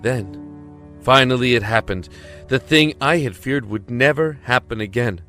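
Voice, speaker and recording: A man plays a desperate prisoner trapped in darkness, an earnest and emotive dramatic storyteller with a mid-pitched voice. He sounds brooding and haunted, as in an audio drama, over faint music. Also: measured pace, sharp tone. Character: desperate imprisoned man recounting his ordeal in darkness. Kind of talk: dramatic first-person audio drama narration.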